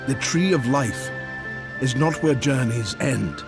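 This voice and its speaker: A man acting a wise old maker in a video game, solemn and measured, speaking like an oracle. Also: medium pitch, animated, loud music behind the voice. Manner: measured, oracular.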